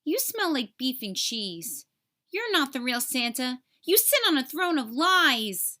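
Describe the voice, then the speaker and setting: A woman voicing an excitable, childlike man raised as an elf, earnest and naive, in a storybook reading. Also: extremely high pitch, a little slow, full character voice. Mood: accusing.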